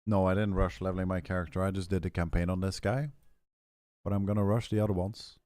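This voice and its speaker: Hoarse low-voiced male game streamer. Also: fairly even intonation, fast talker, darkish tone, no echo. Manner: rambling, frequent throat clearing. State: hoarse, losing his voice. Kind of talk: casual stream chat, answering chat.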